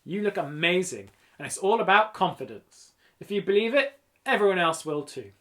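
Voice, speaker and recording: Audiobook schoolgirl, male reader: excitable, encouraging, high and animated. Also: moderate pace. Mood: encouraging, teasing. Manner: eager, gushing, teasing. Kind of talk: children's audiobook character reading.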